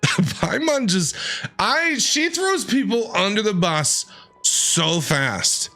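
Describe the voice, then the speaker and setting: A man's voice: high voice, sing-song, slow, pushed and bright, soft background music. A man doing casual, playful game commentary as a streamer, teasing the characters as he reacts. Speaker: gaming streamer reacting to a story quest.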